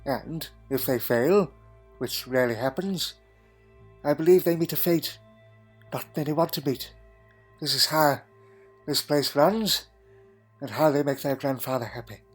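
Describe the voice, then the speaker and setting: A man's voice: a little high, unhurried, pauses often, breathy edge, faint music behind. A man playing a crotchety, dry-witted elderly time traveller in a fan audio drama, fussy and full of questioning hums. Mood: wry, wary. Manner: fussy, punctuated with questioning hmms.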